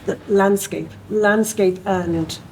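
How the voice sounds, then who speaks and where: A woman, speaking on a panel in a thoughtful way, with many pauses and fillers. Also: medium pitch, steady tone, darkish tone, a little hiss or hum, low-quality recording. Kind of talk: panel discussion.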